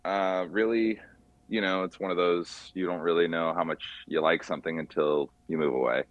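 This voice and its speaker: A man from California, chatting casually and haltingly as an interview guest as he tells his story in an informal, rambling way. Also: sits low, steady tone, lo-fi sound. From California, USA. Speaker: podcast guest, car mechanic by trade. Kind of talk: casual chat.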